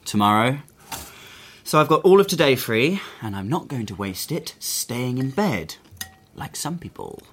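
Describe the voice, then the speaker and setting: A resentful young man in a radio drama, quick with sarcastic digs. His voice swings widely in pitch. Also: big pitch swings, fairly bright tone, slightly rough, exaggerated voice. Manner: sarcastic, needling. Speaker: son recently released from prison.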